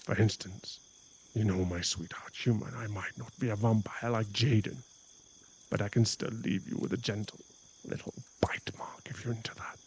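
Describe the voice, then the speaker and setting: Man playing a cocky, gravelly werewolf flirt. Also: lowish voice, steady tone, normal speed, a little hiss or hum, lightly acted, low-quality recording. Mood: seductive.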